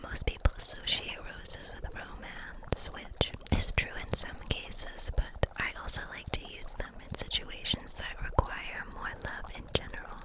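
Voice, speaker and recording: A woman whispering an ASMR roleplay as a gentle, caring kitchen witch, soothing and reassuring in manner. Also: measured pace, few pauses, poor audio.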